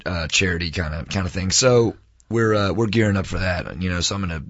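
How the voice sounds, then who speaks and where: Deep hoarse guy hosting a podcast, raspy, folksy and hammy, dry studio sound. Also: a little fast, no echo, highs cut off. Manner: animated, mock-grandiose, folksy. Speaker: podcast host. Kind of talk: casual chat. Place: home recording studio.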